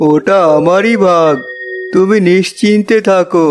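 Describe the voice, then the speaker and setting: A man playing a calm, mysterious, benevolent holy hermit in a cartoon folk tale. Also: sits high, unhurried, over music. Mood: calm, reassuring. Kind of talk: animated folk tale acting.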